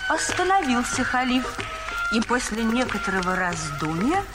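A woman narrating an audio fairy tale in a measured storytelling manner. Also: fairly high pitch, very animated. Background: music.

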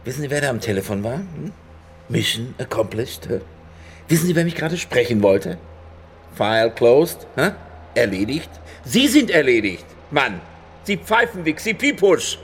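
An actor playing a short-tempered, bossy stadium manager in a radio crime drama, brusque and crude. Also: male voice, mid-range voice, big pitch swings, normal speed, slight background noise, lightly acted. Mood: angry.

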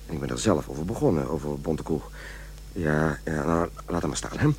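A man's voice: extremely low pitch, a little fast, a little hiss or hum. An actor playing a fussy, self-important man who dictates his journal and mutters corrections, in a radio play. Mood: pompous, hesitant.

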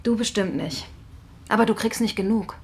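Cool, mid-pitched resigned woman played by an actress. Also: moderate pace, close to an everyday voice. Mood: resigned, warning. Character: resigned, cool female colleague, quietly warning. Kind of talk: naturalistic radio drama dialogue.

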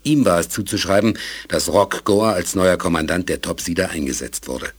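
A man with a husky voice narrating a German audio drama from a script. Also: low voice, normal speed.

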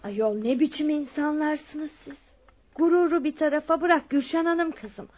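A woman's voice: sits high, measured pace, darkish tone. An actress playing a kindly, insistent older well-off lady in a radio drama, speaking. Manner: motherly, addresses others as her children. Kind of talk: Turkish radio drama acting.

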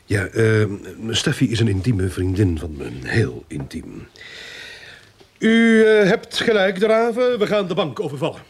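A man's voice: mid-range voice, lively intonation, measured pace, breathy edge. An actor playing a brusque, domineering and scheming crooked policeman in a radio drama. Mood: conniving. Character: gruff crooked policeman, male, irritable and scheming.

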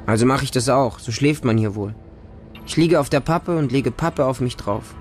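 A man's voice: medium pitch, moderate pace, faint music behind, natural voice. A man playing a lonely, naive small homeless boy who narrates his life in a radio drama in short, plain sentences. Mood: lonely, wary.

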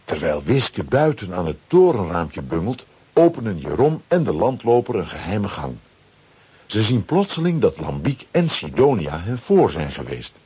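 Very husky, animated male storyteller. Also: deep voice, moderate pace, highs cut off. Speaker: narrator. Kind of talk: dramatic scripted storytelling for children.

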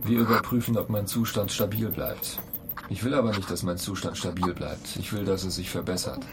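A man's voice: low voice, steady tone, fairly quick, natural voice. A man playing an irritable, ambitious astronaut in a radio play, blunt, impatient and argumentative. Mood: insistent. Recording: clear noise bed behind the voice.

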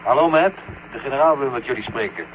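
Tinny radio-link official. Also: male voice, mid-range voice, animated, fast talker. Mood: brisk. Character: businesslike male mission controller and general. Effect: radio link filter. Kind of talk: 1970 science-fiction radio drama acting. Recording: thin, noisy radio transmission.

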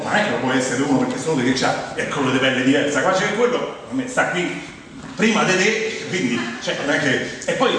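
Echoey distant recording, Roman-accented man, higher-pitched voice, conversational and emphatic stage monologue. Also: a bit bright. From Rome, Italy. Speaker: monologue performer. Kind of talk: humorous theatrical monologue. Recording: echoey, distant, faint noise.

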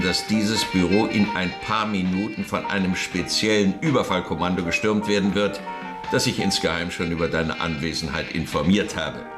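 A man acting in a German radio drama as a stern, ailing KGB chairman, measured, authoritative and disdainful. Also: low-pitched, close to an everyday voice. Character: stern, weary, ailing male KGB chairman, unimpressed by threats.

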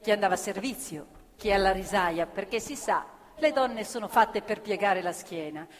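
A woman telling a story on stage, earnest and measured. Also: medium pitch. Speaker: stage actress narrator. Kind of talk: theatrical storytelling. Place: theatre stage. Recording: live theatre with long reverb. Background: live violin and piano.

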